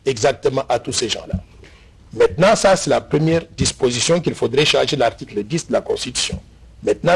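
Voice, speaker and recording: A man's voice: mid-range voice, creaky, breathy edge. A middle-aged Congolese man arguing his case with passion as a guest in a TV studio interview. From Congo (DRC). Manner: impassioned, argumentative, gestures a lot. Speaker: interview guest, bill author. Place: TV studio set.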